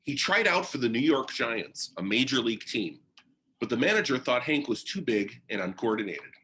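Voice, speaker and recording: A man reading a children's biography aloud in deliberate, short phrases with pauses, like a teacher sharing a picture book. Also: mid-range voice, sing-song, sharp tone, a little hiss or hum.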